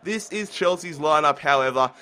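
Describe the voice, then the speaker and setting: Aussie guy sick w flu, bright voice a bit high, excited play-by-play. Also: normal speed. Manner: excited play-by-play, rising on chances. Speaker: gaming commentator. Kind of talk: casual gameplay commentary.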